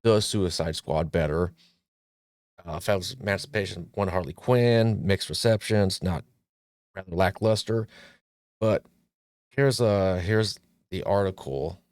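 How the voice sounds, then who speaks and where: Guy with a lowish voice rambling about movies, jokey, stops and restarts a lot, dry close sound. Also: a little slow, pauses often, a little mellow, clear, resonant tone, no echo. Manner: joking, rambling, frequent restarts and fillers. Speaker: movie talk show host. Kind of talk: casual movie commentary.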